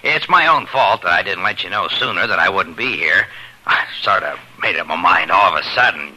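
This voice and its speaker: Low-voiced, awkward, apologetic guest. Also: male voice, lively intonation, brisk, sharp tone, full character voice, poor audio. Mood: uneasy. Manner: hesitant, self-effacing. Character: polite, awkward, apologetic male family guest. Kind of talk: 1950s radio drama acting. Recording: vintage 1950 radio broadcast.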